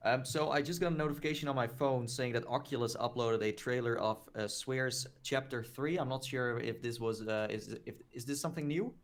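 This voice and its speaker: Dutch dude hosting a vr podcast, rambly, tons of ums, mid voice. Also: male voice, medium pitch, a little fast, few pauses. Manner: relaxed, rambling with many fillers. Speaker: podcast host. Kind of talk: casual chat.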